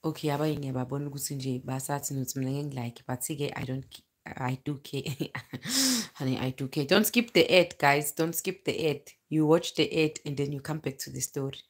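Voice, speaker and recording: A woman's voice: deep voice, fairly quick, slightly rough. A South African woman in her thirties chatting casually and animatedly on a livestream, telling personal stories into a phone in a bedroom. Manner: chatty, animated, breaking off to greet viewers. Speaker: livestream vlogger, storyteller. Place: bedroom, indoors, lying in bed. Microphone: phone at arm's length.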